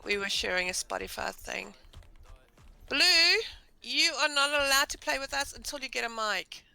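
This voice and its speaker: A hoarse woman chatting casually with friends on a voice call, teasing and bossy. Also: high-pitched, lively intonation, moderate pace, bright, forceful tone, soft background music. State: hoarse, voice deeper than usual. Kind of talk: casual chat.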